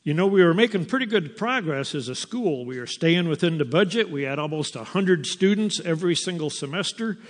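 A man's voice: on the high side, lively intonation. Older man telling his story in a hall. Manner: slow, unhurried storytelling with pauses. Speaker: missionary teacher. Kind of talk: personal testimony to a church. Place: church sanctuary. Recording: long reverb of a large hall.

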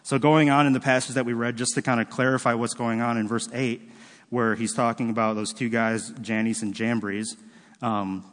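A man preaching a sermon in a relaxed, conversational way, often stopping to correct himself and using fillers. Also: on the low side, long reverb tail. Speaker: pastor. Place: church sanctuary.

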